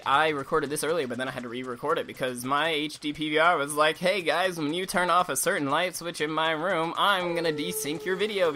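A young man with a slight lisp giving casual, rambling commentary over a video game, swearing freely and now and then breaking into silly high voices. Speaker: let's play commentator. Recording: game music loud behind voice.

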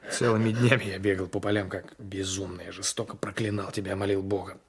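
An actor playing a brooding, passionate gendarme and former lover with a menacing streak, in a radio drama. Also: male voice, deep voice, level tone, a little fast, gravelly, a little hiss or hum. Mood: bitter, passionate. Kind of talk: Soviet 1980s radio drama acting.

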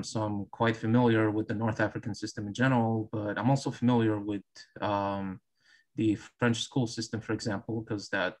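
A man from Morocco, a grad student on a panel video call, speaking in a measured, hesitant way. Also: on the low side.

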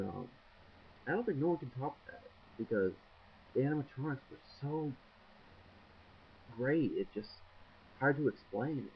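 Gravelly young man on a webcam. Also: medium pitch, a little hiss or hum. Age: 20s. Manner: shy, rambling with many ums and restarts. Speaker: vlogger. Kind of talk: casual video blog. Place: small dim room indoors, window behind. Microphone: built-in webcam mic. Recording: dull lo-fi webcam audio.

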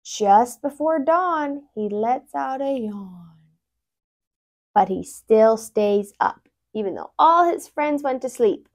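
A young woman reads a children's storybook aloud with lots of expression. Her voice is fairly high and swings widely in pitch, and she takes her time, going slowly from phrase to phrase. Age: young adult. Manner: animated, with brief asides explaining words. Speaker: tutor reading a picture book aloud. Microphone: lapel mic.

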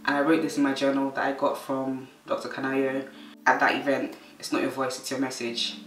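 A young woman with a Nigerian accent, talking earnestly and softly in a sit-down vlog about her faith and her work. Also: a bass voice, flat delivery, a little fast, distant mic. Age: young adult. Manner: earnest, soft-spoken, faith-filled. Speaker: vlogger. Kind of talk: reflective sit-down vlog talk. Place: living room, indoors. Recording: roomy sound, mic set back.

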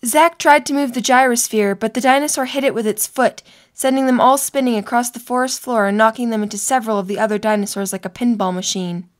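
A woman's voice: on the high side, steady tone, fast talker. A woman narrating a scripted story for an audio drama.

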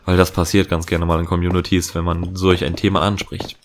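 A low-voiced man talks casually over his gameplay, drifting from thought to thought with plenty of fillers and self-corrections. A faint hiss and the odd click sit under his voice, as from a home desk mic. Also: level tone, a little fast. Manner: chatty, rambling, many fillers and restarts. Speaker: let's play host. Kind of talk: casual gaming commentary.

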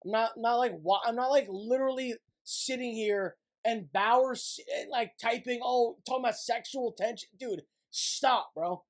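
A man's voice: very high-pitched, moderate pace, few pauses. A man in his twenties hosting a casual livestream from his room, talking bluntly and swearing with an irritated edge. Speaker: livestream host. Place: small dim gaming room at home.

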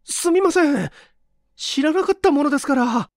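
Man acting an earnest young student, high pitch. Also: animated, moderate pace, dry recording. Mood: apologetic. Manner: deferential, earnest. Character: earnest, polite, flustered young male student.

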